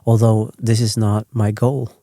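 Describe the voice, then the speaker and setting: A man with a Swedish accent, English his second language, drifts through slow, meandering bedtime talk in a deep, mellow voice that barely rises or falls. He keeps correcting himself and wandering off the point. The sound is dry and close. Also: level tone, gravelly, dry recording. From Sweden. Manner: rambling, self-correcting, gently chatty. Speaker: sleep podcast host.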